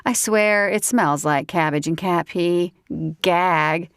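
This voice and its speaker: A woman's voice: lowish voice, slow, clean tone, no room sound, studio quality. A woman voicing a tipsy, cheeky young woman with a Southern accent, sassy and giggly in an audiobook. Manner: giggly, sassy, folksy exclamations.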